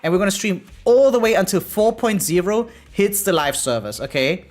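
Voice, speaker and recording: A woman's voice: medium pitch, animated, normal speed, darkish tone. A woman game streamer chatting casually and reacting live, in an informal way. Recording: game music behind voice.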